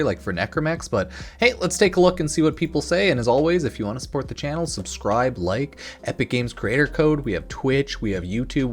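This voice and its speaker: A man doing casual, off-the-cuff news commentary as a gaming video creator, rambling and opinionated in slangy speech. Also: medium pitch. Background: music.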